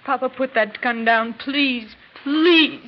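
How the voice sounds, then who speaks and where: A frightened young daughter pleads near tears in a high voice. It is an old radio drama heard through a dull, noisy broadcast recording. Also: female voice, slow pace. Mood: pleading. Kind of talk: 1950s radio drama acting.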